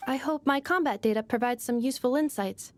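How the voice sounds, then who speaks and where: A woman's voice: sits high, brisk, no echo, close to an everyday voice. A woman voicing a polite, dutiful female robot in a video game, formal and precise in manner. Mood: polite, composed. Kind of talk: scripted video game voice acting.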